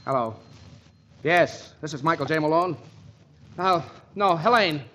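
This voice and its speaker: An actor playing an exasperated criminal lawyer dragged into detective work, excitable, sputtering and sarcastic, in a 1940s radio comedy. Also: male voice, on the high side, a little slow.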